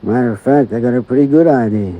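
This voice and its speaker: A slow-talking country deputy sheriff, beefy and unhurried, drawling in plain rural grammar, played by a man. The voice sits at an ordinary middle pitch. It comes through the dull, muffled sound of a vintage radio drama. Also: natural voice. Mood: laconic. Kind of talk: 1950s radio drama acting. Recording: dull lo-fi old radio recording.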